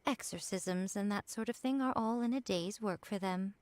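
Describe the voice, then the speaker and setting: An actress playing a sly, aloof shrine priestess in a video game, wry and languid, with a playfully condescending way of talking. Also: female voice, medium pitch, normal speed, darkish tone, no echo, close to an everyday voice. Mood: teasing. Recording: background music under the voice.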